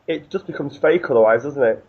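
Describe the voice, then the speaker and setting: A man's voice: medium pitch, a little fast, slightly rough, dull audio. A man hosting a radio interview, asking questions and agreeing easily, often ending with tag questions. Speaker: radio host.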